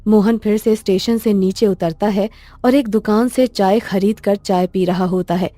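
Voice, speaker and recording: A woman's voice: medium pitch, fairly even intonation, normal speed, warm tone, dry recording. A woman storyteller reading scripted narration for a cartoon tale. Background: faint music.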